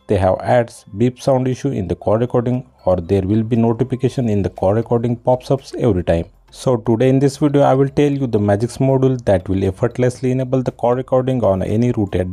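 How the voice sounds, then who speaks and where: Dark-toned man narrating a tutorial. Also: mid-range voice. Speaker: tech channel host.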